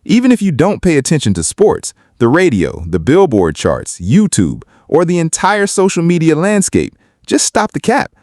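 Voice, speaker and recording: Guy doing argumentative hot-take commentary, super animated pitch, dry close sound. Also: moderate pace, no room sound. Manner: emphatic, argumentative. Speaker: video commentator on hip-hop. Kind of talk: opinionated video commentary.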